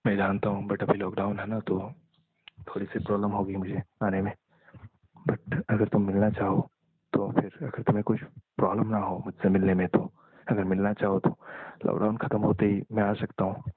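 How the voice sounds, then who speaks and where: A young man speaks in a low, husky voice with very little melody. He sounds halting and resigned, as in a private conversation over a narrow phone line. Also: little pitch movement. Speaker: caller talking with an ex-partner. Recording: muffled narrow-band phone call, echoey.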